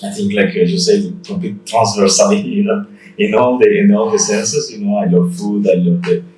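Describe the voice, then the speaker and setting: Brief: deep-voiced Salvadoran artist, native Spanish speaker, halting English, recorded from a distance in an echoing gallery. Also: male voice, fairly even intonation, darkish tone, soft background music. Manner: halting, searching for words with many fillers. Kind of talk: casual interview. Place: art gallery.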